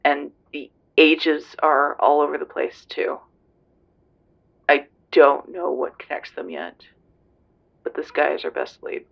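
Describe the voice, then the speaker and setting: A man's voice: fairly high pitch, expressive, slow, vocal fry, heavily acted. A man playing a tough, serious police investigator on a phone call in a roleplay audio drama, terse and grave. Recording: dull lo-fi phone-call sound.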